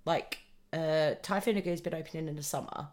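A woman's voice: deep voice, fairly quick, darkish tone, faint music behind. A woman hosting a podcast in a casual, chatty way. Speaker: podcast host.

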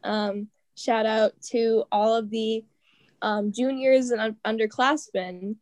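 A teenage girl from a high school drama club, talking enthusiastically in a casual interview. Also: steady tone, slow pace, darkish tone, no room sound. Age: teens. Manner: enthusiastic, gushing.

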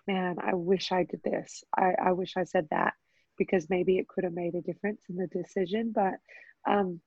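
A woman from the United States, a fight coach, talking casually in an interview with a very loud, carrying voice and animated bursts of cheering. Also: mid-range voice, no echo, muffled recording. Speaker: Muay Thai coach.

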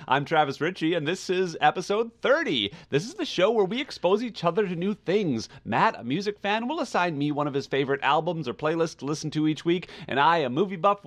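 A man's voice: sits high, fairly bright tone. A man hosting a podcast in a casual, chatty style, rambling as he goes. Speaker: podcast host.

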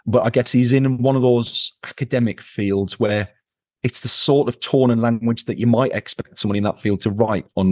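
A man's voice: fairly low pitch, a little mellow, dry recording, clean studio sound. A man speaking as a podcast guest, emphatic and repeating words for stress in a conversational interview. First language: English.

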